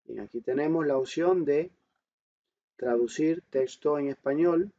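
Mellow, higher-voiced man giving a tutorial. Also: unhurried. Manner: explanatory, poses questions and answers them himself. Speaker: instructor. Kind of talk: step-by-step smartphone tutorial, talking while demonstrating. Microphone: voice-over on a screen recording. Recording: dull lo-fi microphone sound.

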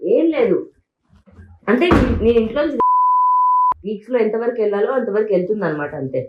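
A woman's voice: mid-range voice, sing-song, a little fast, muffled recording, heavy background noise. A woman in a heated argument, speaking insistently and repeating herself over and over. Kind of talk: heated argument in a leaked recording. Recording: echoey amateur recording of an argument.

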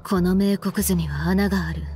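A woman's voice: on the low side, fairly even intonation, normal speed, warm tone, soft background music, natural voice. A woman playing a cool, blunt young guardian in an anime game, composed and commanding. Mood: firm, warning. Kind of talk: Japanese anime game acting.

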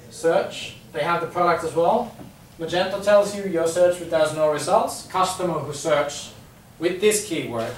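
Finnish man presenting in an echoey room. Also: fairly high pitch. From Finland. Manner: chatty, repeats words, many ums. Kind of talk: business presentation. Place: conference room with audience. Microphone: far camera, no mic. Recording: echoey room from far off, faint hum.

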